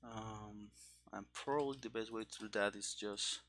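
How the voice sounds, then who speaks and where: A game developer thinking aloud while programming, speaking casually and haltingly, as if muttering to themself. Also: mid-range voice, fairly even intonation, fairly bright tone. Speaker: game developer streaming their coding. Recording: keyboard clicks and faint background noise. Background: typing and mouse clicks.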